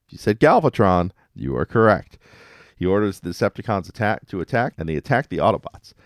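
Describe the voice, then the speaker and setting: A male podcast host recaps episodes casually in a fairly low voice. His delivery is wry and very animated, with playful dramatic emphasis, recorded dry with no room sound. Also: brisk, dry recording.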